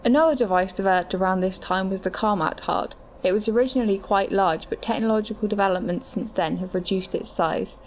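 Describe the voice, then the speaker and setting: A woman's voice: medium pitch, brisk, few pauses, a little hiss or hum, close to an everyday voice. A woman playing a matter-of-fact medical adviser, reading scripted explanations in an audio drama. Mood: informative.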